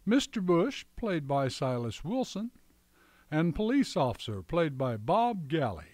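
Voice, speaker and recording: A man's voice: on the high side, darkish tone, no echo. A man announcing for radio, reading scripted credits and sponsor copy.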